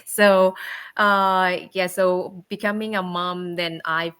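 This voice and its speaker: A low-voiced woman in her forties, born in Thailand and a native Thai speaker, chats as an interview guest. She talks hesitantly, restarts her sentences and fills pauses. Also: steady tone, fairly bright tone, smooth. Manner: hesitant, many fillers and restarts, laughs often. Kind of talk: casual interview chat.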